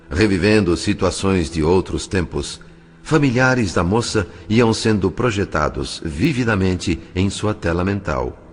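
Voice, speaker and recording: A man's voice: low-pitched, slightly rough, exaggerated voice. A man with a Brazilian accent playing a solemn, compassionate, fatherly spirit who narrates an audio drama.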